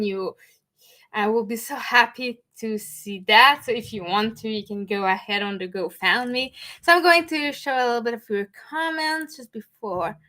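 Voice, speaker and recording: A woman's voice: a little high, pushed and bright. A woman from Montreal with a Québécois French accent, French her first language, hosting a live stream and greeting viewers while she searches for words now and then. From Montreal, Canada. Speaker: host.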